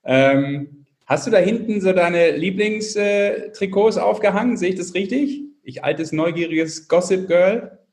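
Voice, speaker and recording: A man's voice: sits high, unhurried, a little mellow. A man hosting a podcast, chatting casually and asking questions in a loose, informal way. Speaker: podcast host. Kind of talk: casual interview chat.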